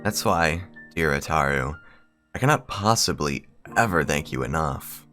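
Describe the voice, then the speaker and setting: Man putting on a genteel, doting rich young lady, deep-voiced. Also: animated, a little slow, sharp tone, faint music behind, full character voice. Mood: devoted, grateful. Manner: formal, genteel, gushing politeness. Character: refined rich young lady, doting, formal, intensely devoted.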